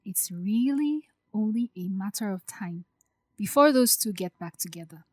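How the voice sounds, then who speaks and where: Audio drama: insecure, guarded young woman, played by an actress, confiding earnestly in a mid-pitched voice, dry recording. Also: expressive, normal speed, darkish tone, no echo, close to an everyday voice. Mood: bitter, resigned.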